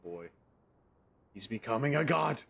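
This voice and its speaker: A man's voice: medium pitch, animated, unhurried, vocal fry, heavy background noise. A man reacting casually as he streams a video game playthrough. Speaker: gaming streamer.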